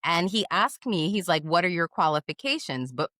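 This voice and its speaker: A woman hosting an interview, asking thoughtful questions and speaking directly to her listeners in a measured, reflective way. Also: fairly low pitch, expressive, sharp tone, no echo, clean studio sound. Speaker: host, equity strategist. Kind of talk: conversational interview.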